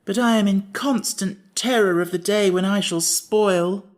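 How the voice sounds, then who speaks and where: A man reading an audiobook, voicing a humble, timid pumpkin-headed figure who speaks slowly with sighs. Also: high-pitched, voice pushed up. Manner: humble, slow and sighing. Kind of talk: audiobook character voice.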